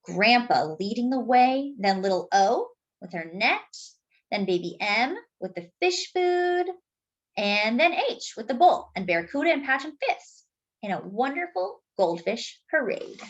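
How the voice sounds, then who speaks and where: A woman reading a picture book aloud to children, expressive and deliberate, dropping in chatty asides. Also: a little high, lo-fi sound. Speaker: children's story reader.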